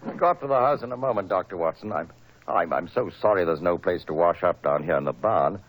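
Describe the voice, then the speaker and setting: An actor playing a proud, wealthy motor car inventor in an old radio drama, emphatic and earnest, with a husky voice. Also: male voice, low voice, expressive, fast, a little hiss or hum, low-quality recording. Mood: affable.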